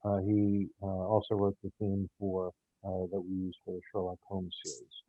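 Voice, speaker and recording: A man speaking haltingly as an interview guest, with frequent hesitations and pauses. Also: low voice, completely flat delivery, no echo. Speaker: audio theater producer and guest. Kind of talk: casual interview chat. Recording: muffled, phone-like narrow sound.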